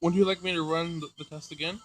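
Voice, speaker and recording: A man's voice: on the high side, steady tone, fast, lightly acted, low-quality recording. A man voicing a polite, literal-minded robot assistant from a visual novel, speaking in a precise, formal way. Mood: matter-of-fact. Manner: precise, formal, matter-of-fact. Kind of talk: visual novel character reading.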